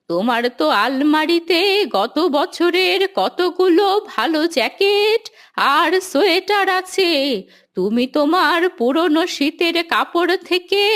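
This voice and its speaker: A woman voicing a kindly, patient grandmother who gently admonishes her grandson in a moral cartoon. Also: high voice, exaggerated voice. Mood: gentle, persuading. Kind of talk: Islamic moral cartoon dialogue.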